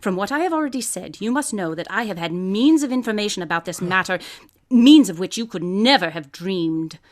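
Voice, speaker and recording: A woman acting a courteous, shrewd mademoiselle detective in a radio play. She has a mid-pitched voice and speaks in formal, measured sentences with calm authority. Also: natural voice. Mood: reassuring, firm. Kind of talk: live radio drama acting.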